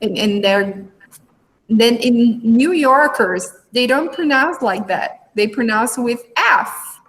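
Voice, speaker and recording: A woman's voice: a little high, expressive, takes it slowly, fairly bright tone, poor audio. A woman coordinator hosting a school live stream, speaking hesitantly and often stopping to search for words. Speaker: language coordinator and host.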